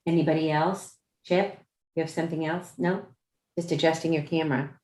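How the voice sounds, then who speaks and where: A woman with a low voice leads a conference call from a roomy, echoing space. She explains procedure informally and haltingly, going back to rephrase as she goes. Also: flat delivery, darkish tone. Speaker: chair of a judicial nominating commission meeting. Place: indoor room on a Zoom conference call. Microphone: video call microphone.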